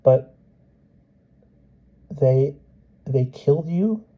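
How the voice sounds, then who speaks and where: A man voicing a small excitable boy who wails and insists, with a husky voice. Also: mid-range voice, drawn-out words, voice pushed up. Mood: bewildered. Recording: dull lo-fi recording.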